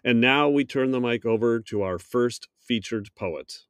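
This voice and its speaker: A man hosting a poetry podcast, reading a scripted introduction in a measured, announcer-like way. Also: medium pitch, smooth, no room sound, clean studio sound. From United States. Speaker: podcast host.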